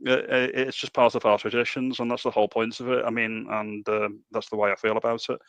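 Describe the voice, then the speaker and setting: A man with a Liverpool accent hosting a casual political chat, animated and full of rhetorical questions. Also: medium pitch, fairly quick, no echo. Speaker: podcast host.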